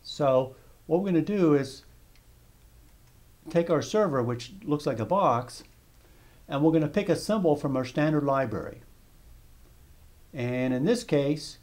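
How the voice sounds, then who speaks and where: A man talking through a software demonstration one step at a time. He explains in a steady, patient way and sometimes restarts a phrase mid-sentence. His voice sits at an ordinary middle pitch. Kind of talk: software tutorial screencast narration.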